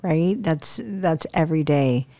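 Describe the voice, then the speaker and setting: A woman's voice: low-pitched, unhurried, mellow. Chatty older woman, self-laughing. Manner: chatty, upbeat, laughs at herself. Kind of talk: casual chat.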